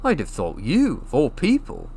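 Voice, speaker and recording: A man voicing a glum, self-deprecating young boy wizard in an animated, grumbling way, for a storybook. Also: on the high side, normal speed, pitched above the performer's own voice, exaggerated voice. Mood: shocked.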